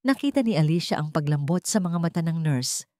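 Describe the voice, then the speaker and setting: A woman's voice: low-pitched, lively intonation, brisk, warm tone, no room sound, clean studio sound. A woman storyteller reading scripted fiction in a dramatic narration style.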